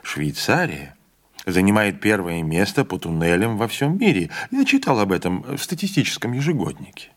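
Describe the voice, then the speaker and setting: A man narrating a literary audiobook with an expressive reading, giving the characters' lines a voice of their own. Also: lowish voice, normal speed.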